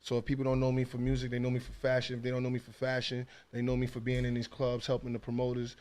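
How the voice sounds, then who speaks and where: Male rap artist as guest, New York accent, average pitch, casual and self-assured with streetwise slang. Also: completely flat delivery, fast talker, a little mellow. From Coney Island, New York. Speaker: rapper and interview guest. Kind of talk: casual interview chat.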